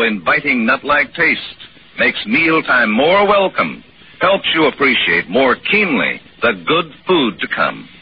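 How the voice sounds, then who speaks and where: Wildly inflected 1940s radio pitchman. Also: husky. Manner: deliberate, emphatic phrasing. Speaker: commercial pitchman. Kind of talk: 1940s radio advertisement, polished scripted read. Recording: dull 1940s radio recording, faint noise.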